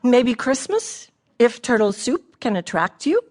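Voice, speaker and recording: A woman's voice: on the high side, very animated, unhurried, darkish tone. An actress playing a shy, observant female poet who reads letters and verse aloud for radio theatre with dry, precise wit. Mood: amused.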